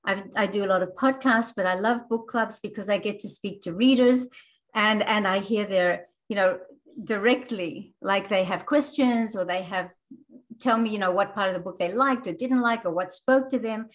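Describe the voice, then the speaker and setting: A woman's voice: medium pitch, moderate pace. Enthusiastic woman with a mixed Australian accent. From France, raised in Australia. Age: middle-aged. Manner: enthusiastic, with frequent fillers and restarts. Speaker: guest author and artist. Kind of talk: casual book club conversation.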